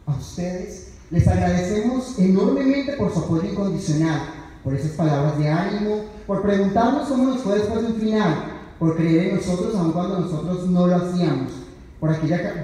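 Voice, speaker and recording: A man's voice: high-pitched, warm tone. A young man, a graduating student, reading a prepared speech at a podium in an earnest, declamatory manner. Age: young adult. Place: large university auditorium, indoors. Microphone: podium microphone, picked up by distant audience camera. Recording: echoey hall from far off, faint noise.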